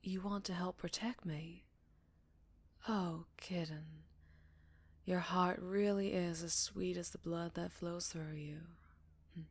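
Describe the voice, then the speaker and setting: Casting: actress as melancholy, tender vampire woman for ASMR roleplay; low register, Southern US accent, hushed and unhurried. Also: fairly even intonation, breathy edge, full character voice. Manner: slow, hushed, affectionate, with pauses and sighs. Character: gentle, melancholy English-born female vampire, tender and wary. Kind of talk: intimate ASMR roleplay, soft and partly whispered.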